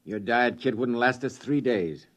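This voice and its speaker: An actor playing a pragmatic, sardonic spaceship crew leader, matter-of-fact, in a 1950s radio drama. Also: male voice, medium pitch, unhurried, close to an everyday voice. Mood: resigned.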